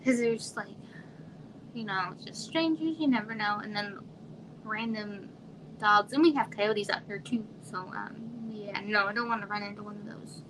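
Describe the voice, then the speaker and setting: A woman from Arizona in her thirties, chatting casually on a home livestream in a quiet, soft voice that trails off and sometimes drops to a whisper. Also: medium pitch, moderate pace. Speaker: livestream host. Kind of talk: casual live chat with viewers. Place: home room. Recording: noisy home livestream audio.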